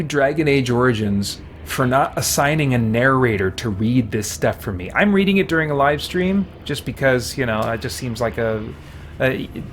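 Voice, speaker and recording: A man live-streaming a game, reading its text aloud and chatting casually as he thinks out loud. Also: medium pitch. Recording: steady background noise.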